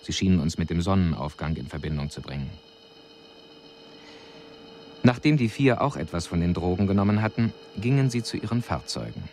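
An actor playing a detached alien envoy who delivers a formal, precise, clinical report in a radio drama. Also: male voice, deep voice, big pitch swings, quick pace, a little mellow, a little hiss or hum. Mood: clinical, observing.

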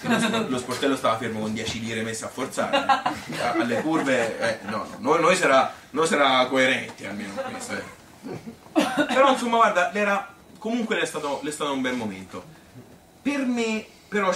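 A man's voice: mid-range voice, sharp tone. A male actor playing a chatty Tuscan man who reminisces about his youth in a colloquial, rambling stage monologue, full of repetitions and appeals for agreement. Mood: nostalgic, animated. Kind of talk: spontaneous-sounding theatrical storytelling. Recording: echoey room, distant mic, faint noise.

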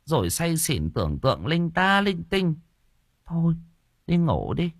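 A man's voice: huge pitch swings, measured pace, vocal fry, no echo, studio quality, heavily acted. A man voicing a worried, nagging old mother in a spoken horror story. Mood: scolding. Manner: shrill, nagging, high-pitched.

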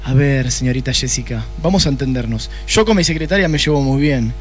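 An actor with an Argentine accent playing a rude, sardonic, hard-drinking private detective in a comic noir radio play. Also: male voice, medium pitch, fairly quick, soft background music, close to an everyday voice. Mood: knowing, measured. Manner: brusque, sardonic.

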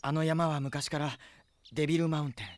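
Guy acting a dutiful young knight, bit high voice, earnest and formal, radio drama. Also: fairly even intonation, a little fast, natural voice. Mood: dutiful, concerned. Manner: formal, reporting politely.

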